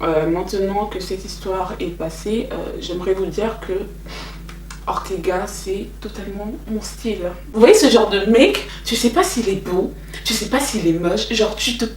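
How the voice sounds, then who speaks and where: A young woman telling stories in a casual, animated, teasing and slangy way, like an online host. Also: on the high side, slight background noise. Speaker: YouTube host telling K-pop gossip. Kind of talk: casual chatty storytelling with commentary. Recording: roomy echo, distant mic, music behind.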